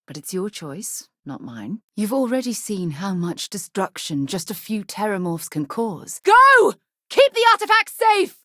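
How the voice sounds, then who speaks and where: Husky, earnest woman space explorer. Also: mid-range voice, huge pitch swings, unhurried, bright, forceful tone, no room sound, studio quality, exaggerated voice. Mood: composed, concerned, then urgent shouting. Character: earnest, principled woman explorer, chair of a space expedition group. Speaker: voice actress for a game companion character. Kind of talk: acted video game dialogue lines.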